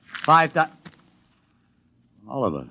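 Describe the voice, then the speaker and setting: A man's voice: medium pitch, sing-song, bright, forceful tone, slight background noise, exaggerated voice. An actor with an American accent playing a brash, wisecracking, money-hungry publicity man in 1950s radio style. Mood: eager salesmanship. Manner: glib, slangy, fast-reacting salesman. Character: brash, wisecracking male New York publicity agent, eager for money.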